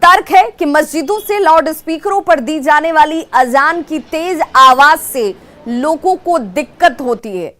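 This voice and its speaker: A woman's voice: high-pitched, expressive, a little slow, pushed and bright. A woman anchor presenting TV news in an urgent narrating style.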